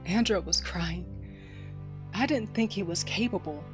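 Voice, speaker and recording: A woman's voice: lowish voice, a little slow, music in the background, lightly acted. A woman playing a tough, wounded, sardonic smuggler who tells her own story in an audio drama, intimate and wry. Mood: wry, tender narration. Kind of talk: first-person audio drama narration with dialogue.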